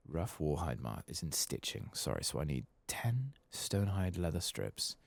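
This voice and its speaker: A man softly narrating a video game playthrough in ASMR style, murmuring and musing hesitantly to himself as he plays. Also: sits low, very animated, moderate pace, creaky. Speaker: gaming channel host. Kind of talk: soft-spoken ASMR game playthrough commentary.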